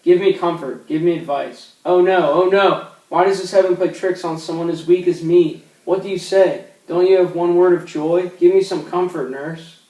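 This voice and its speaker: A man's voice: sits high, fairly even intonation, normal speed, few pauses, warm tone, lightly acted. An actor playing a desperate teenage girl in a stage play, pleading and emotional. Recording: echoey room from far off.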